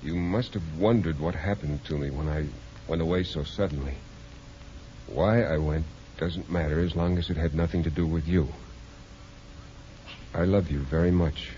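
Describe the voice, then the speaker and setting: An American actor playing an earnest, troubled, middle-aged divorced father in 1950s radio drama, speaking tenderly and haltingly. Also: very deep voice, dark tone, slight background noise. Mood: tender, subdued. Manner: hesitant, halting. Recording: dull 1950s radio recording with music.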